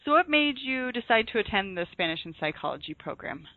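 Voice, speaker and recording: A woman's voice: fairly high pitch, very animated, brisk, no room sound. Friendly woman host on a tinny line. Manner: friendly, brief responses, laughs along. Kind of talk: interview hosting. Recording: dry tinny phone-like line.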